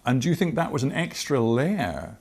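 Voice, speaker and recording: An older man with a British accent conducting a broadcast interview, asking measured, deliberate and probing questions. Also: mid-range voice, big pitch swings, creaky, breathy edge. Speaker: television interviewer.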